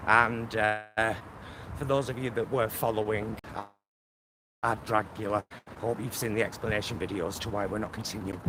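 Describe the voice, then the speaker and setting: A man's voice: on the low side, steady tone, moderate pace. A man chatting casually to his phone on a selfie stick while walking through his neighbourhood, rambling with lots of fillers. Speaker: vlogger. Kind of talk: casual walking vlog chat. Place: outdoors on a street in his neighbourhood. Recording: phone recording with street noise. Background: traffic and street noise.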